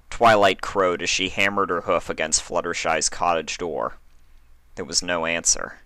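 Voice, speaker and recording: A man reading a scripted story as narrator, with dramatic, deliberate delivery. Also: sits low, pushed and bright, no room sound. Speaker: fan fiction reader and narrator.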